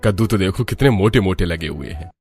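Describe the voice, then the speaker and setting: A man's voice: sits low, animated, brisk, darkish tone, soft background music. A man voicing a caring older village father-in-law in a cartoon, speaking in a plain, fatherly way. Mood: practical. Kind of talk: animated Hindi cartoon story acting.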